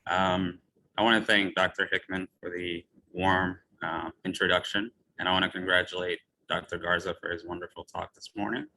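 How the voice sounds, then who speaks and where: A physician from Ethiopia gives a lecture in a deep, bright and forceful voice. He talks in a measured way and leans on personal storytelling. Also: little pitch movement, slight background noise, poor audio. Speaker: physician speaker. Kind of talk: lecture talk.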